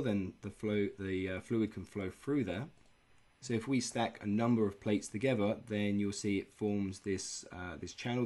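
Low-voiced man explaining engineering. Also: a little mellow. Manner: plain, unscripted explaining with frequent "uh" fillers. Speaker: engineering educator. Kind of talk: explanatory tutorial narration.

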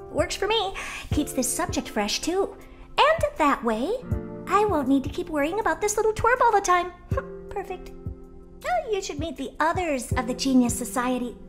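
A self-absorbed young genius researcher in a video game. Her voice is very high and swings animatedly as she talks down to everyone with flippant bluntness. Music plays behind her. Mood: smug, eager. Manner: flippant, condescending, theatrically expressive. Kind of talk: video game character dialogue.

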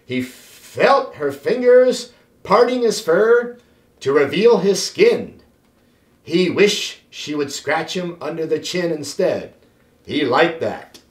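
A middle-aged man reads a children's story in a high voice that swings widely up and down. His delivery is theatrical and deliberate, and the room adds a clear echo. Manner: expressive, theatrical, deliberate phrasing. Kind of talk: animated read-aloud for children. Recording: roomy, echoing sound.

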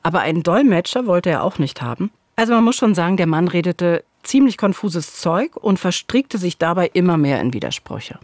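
Woman in her forties, animated intonation, podcast storytelling, dry close studio sound. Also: fairly low pitch, moderate pace, few pauses, dry recording. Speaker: true crime podcast co-host. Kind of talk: conversational narrated true crime podcast. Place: small dark radio studio, indoors. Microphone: studio microphone on a boom arm, close.

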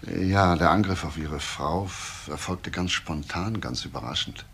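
An actor playing a probing, matter-of-fact police chief inspector in a radio crime drama, asking terse questions. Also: male voice, low voice, a little slow, a bit bright, slightly rough, exaggerated voice. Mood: quiet, probing. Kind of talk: naturalistic German radio crime drama acting.

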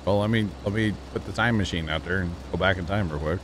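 A man's voice: deep voice, quick pace, noisy background. A man hosting a casual gaming livestream from home, chatty and laid-back, laughing often with a co-player. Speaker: livestream host. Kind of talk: casual gaming stream chat. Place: home streaming setup.

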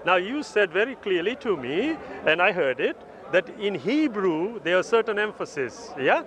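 A man's voice: high voice, very animated, moderate pace, a bit bright. A middle-aged man with an Indian accent, debating persistently in an outdoor street debate and repeating himself to hold the floor. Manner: persistent, repeats names and phrases to take the floor. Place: outdoor park among a crowd. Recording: outdoor crowd chatter behind.

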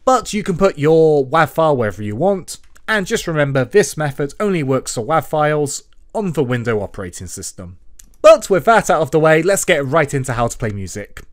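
A man narrates a screen-recorded tutorial in a somewhat high voice. His pitch moves up and down a great deal as he explains each step in an instructional way. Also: very animated, brisk. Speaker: tutorial presenter.

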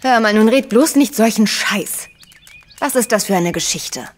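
An actress playing a frank, self-assured, caring but blunt journalist in a radio play, speaking informally and directly. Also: female voice, mid-range voice, lively intonation, moderate pace, slight background noise, natural voice. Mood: direct, probing.

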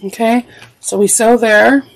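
A woman's voice: on the high side, steady tone, measured pace, rough recording. A woman teaching a sewing project, talking casually and thinking aloud as she works. She is getting over a cold and her voice cracks now and then. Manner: chatty, thinks aloud while working. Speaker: sewing instructor. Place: craft room at a sewing table.

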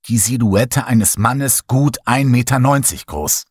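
A man narrating a horror story in the first person, dramatic and lively. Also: medium pitch, slow, husky, no room sound, clean studio sound. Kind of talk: horror audiobook reading.

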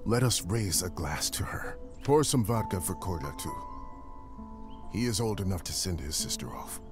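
A man's voice: sits low, lively intonation, music in the background. A middle-aged man playing a proud, grieving uncle who gives a solemn, tender eulogy in a dramatic video game. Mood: mournful, tender. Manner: measured, solemn, tender oratory. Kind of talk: dramatic game voice acting, funeral speech.

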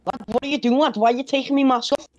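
A woman's voice: high voice, fairly even intonation, very fast, dry recording. A woman in casual roleplay banter, cheeky, defiant and slangy, as a detained suspect.

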